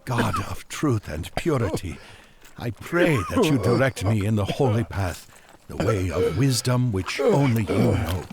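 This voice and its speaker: An actor playing an earnest, devout Magian traveler on an urgent quest, speaking in solemn, formal, old-fashioned language for an audio drama. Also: male voice, on the low side, big pitch swings, a little slow, heavy background noise. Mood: prayerful, resolute.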